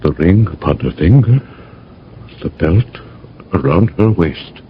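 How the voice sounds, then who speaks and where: A man acting as a grave, hesitant older father in an old-time radio drama, solemn and measured. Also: low-pitched, lively intonation, full character voice. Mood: grave, doubtful. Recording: dull, noisy old radio recording.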